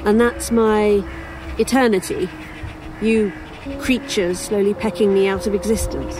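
A woman's voice: mid-range voice, steady tone, measured pace, noisy background, natural voice. A woman playing a defiant, weary dead writer in an audio horror drama, with a British accent and a dry, wry delivery. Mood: resigned, wry.

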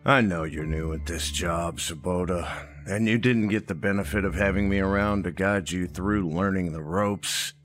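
An actor playing an old small-town sheriff in a horror audio drama, matter-of-fact, folksy and quietly threatening. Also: male voice, sits low, moderate pace, faint music behind. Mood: measured, menacing.

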